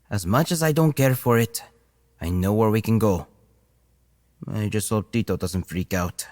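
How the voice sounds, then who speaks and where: A man's voice: lowish voice, slow, pauses often, a little mellow. An actor playing a wry, tough male werehorse fighter in an audio drama. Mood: reluctant.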